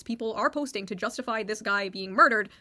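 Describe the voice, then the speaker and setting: A woman's voice: medium pitch, brisk, bright, forceful tone, no echo, studio quality. A woman giving opinionated political commentary for an online video, emphatic and dismissive in her delivery.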